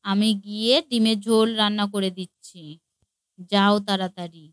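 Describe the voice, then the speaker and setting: A woman's voice: medium pitch, level tone, moderate pace, no room sound, studio quality, lightly acted. A woman voicing a nagging, exasperated middle-aged mother-in-law in a comedy cartoon, with a sarcastic, lamenting way of talking. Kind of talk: Bengali comedy cartoon dialogue.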